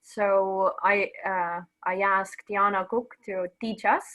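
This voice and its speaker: A woman's voice: medium pitch, steady tone, takes it slowly, fairly bright tone. Modest woman introducing herself on a call. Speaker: education technology officer. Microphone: video call.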